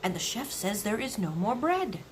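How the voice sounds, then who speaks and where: A discreet royal butler from a children's story, as a woman reader puts him on. He speaks in a hushed, whispery voice, deferential and apologetic to his mistress. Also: medium pitch, big pitch swings, moderate pace, background noise, lightly acted.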